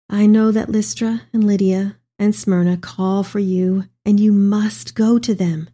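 A woman's voice: mid-range voice, warm tone. A woman playing an elderly, devout Mary in a dramatic reading of tender, earnest letters to her son. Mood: tender, solemn.

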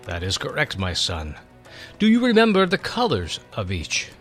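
Man playing a wise, fatherly old seer, animated pitch. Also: faint music behind. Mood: gently instructive. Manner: measured, fatherly, instructive. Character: wise old male seer, kindly patient mentor. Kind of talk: dramatic storybook reading.

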